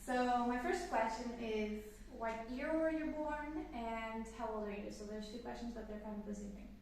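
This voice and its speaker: A woman's voice: on the high side, moderate pace, a little mellow, heavy reverb, far from the mic, a little hiss or hum, rough recording. A woman in her twenties, from Canada, hosting a casual sit-down Q&A chat, upbeat and rambling with fillers. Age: 20s. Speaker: channel host.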